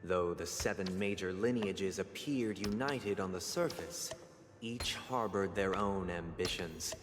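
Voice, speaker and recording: Solemn slow storyteller, low and echoing. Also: male voice, background noise. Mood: solemn, grand. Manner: solemn, formal, deliberate. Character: solemn male storyteller presenting a grand allegorical history. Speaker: narrator. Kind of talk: theatrical scripted narration of an allegorical history. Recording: echoey hall reverb under loud music.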